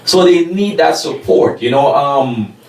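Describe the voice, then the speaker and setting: A man from Guyana, a pastor, speaking haltingly with many restarts in a discussion. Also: a little high, animated, echoey room, far from the mic, low-quality recording. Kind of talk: casual panel discussion.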